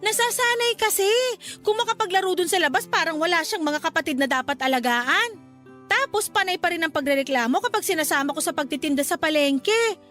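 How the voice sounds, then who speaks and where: A woman's voice: very high voice, animated, fairly quick, a bit bright. A woman playing a stern, nagging, hardworking mother who scolds in exasperation, in a Filipino radio drama. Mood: exasperated. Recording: music bed behind the voice.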